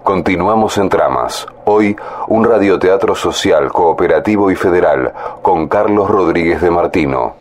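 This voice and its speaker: A man's voice: sits low, a little slow. A man reading scripted programme announcements as a radio announcer. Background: music.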